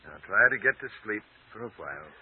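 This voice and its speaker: A fatherly older physician in a vintage radio play. He speaks soothingly in a slightly rough voice. The sound is dry and very narrowband, like an old broadcast. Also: on the low side, fast, no echo, exaggerated voice. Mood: soothing, calm. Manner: calm, reassuring, paternal. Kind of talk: 1940s radio drama acting.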